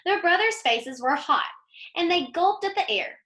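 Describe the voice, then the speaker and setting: A woman giving an expressive, teacherly picture-book read-aloud and putting questions to young listeners. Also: extremely high pitch, moderate pace. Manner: animated, teacherly. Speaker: teacher reading aloud to children.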